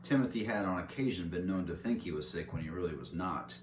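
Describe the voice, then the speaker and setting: A man's voice: deep voice, fast, distant mic. A man reading a children's book aloud as narrator. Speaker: story reader. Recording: roomy echo, clear background hiss.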